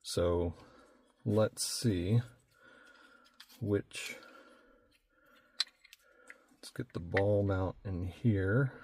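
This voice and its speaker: A man with a low voice talks casually through a hands-on job, thinking aloud as he goes. His delivery is unhurried and practical, like a host showing viewers an install. There is a light layer of background noise with some clicks. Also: darkish tone. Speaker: host of hands-on car accessory install video. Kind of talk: casual hands-on demonstration talk.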